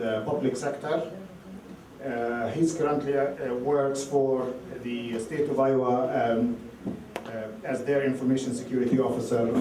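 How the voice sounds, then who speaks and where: A man moderating a session, introducing the next speaker hesitantly with many pauses. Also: medium pitch, dark tone, a little hiss or hum. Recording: echoey room, distant mic.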